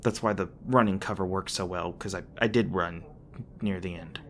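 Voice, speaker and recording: A man's voice: low voice, steady tone, normal speed, a little hiss or hum. A man playing a flustered, tender demon boyfriend in an intimate ASMR roleplay, speaking hesitantly with stammers, bashful and a little defensive. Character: flustered, tender young male demon boyfriend, secretly human-born.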